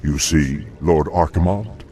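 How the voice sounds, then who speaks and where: An actor playing a menacing, cunning demon lord in a video game, gravelly and theatrical, given to gloating and wrath. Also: male voice, extremely low pitch, slow pace, dark tone, slight background noise. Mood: gloating menace. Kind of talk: dramatic video game villain acting.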